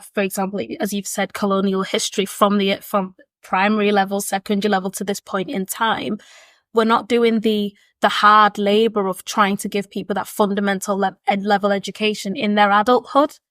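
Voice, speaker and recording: A woman's voice: medium pitch, fairly bright tone, dry recording. A woman, giving earnest, reflective answers as a podcast guest and often repeating words while she thinks. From born in Zimbabwe, raised in Leeds, England. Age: 20s. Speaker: podcast guest, education consultant. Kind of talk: thoughtful conversational interview answers. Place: seated at a table with the hosts.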